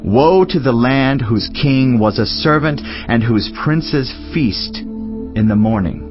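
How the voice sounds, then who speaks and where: An actor voicing a solemn, wise teacher in a dramatized scripture reading, speaking in a measured, declamatory way. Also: male voice, mid-range voice, expressive. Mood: solemn, admonishing. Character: solemn male Teacher, wise biblical sage reflecting on life. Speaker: scripture reader. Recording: dull lo-fi audio over music.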